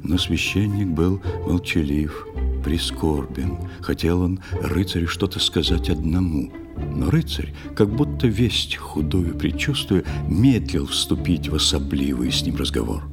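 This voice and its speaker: A man with a deep voice narrates verse for an audio play. He is slow and theatrical, shaping the lines expressively, with faint music behind him. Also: expressive, long reverb tail.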